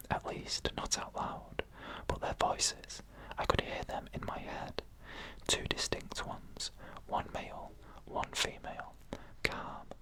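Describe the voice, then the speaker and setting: A narrator telling a scary story in a breathy, unvoiced ASMR whisper, in short phrases with frequent pauses. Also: bright, forceful tone.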